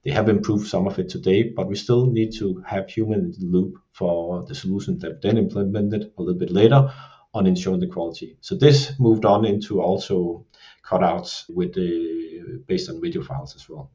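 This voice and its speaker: A man speaking English with a Danish accent, his voice low and mellow. He explains his points in a measured, steady way, often leaning on the filler "you can say". The audio sounds dull and a little muffled, like a plain podcast recording. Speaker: creative production lead. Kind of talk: podcast discussion.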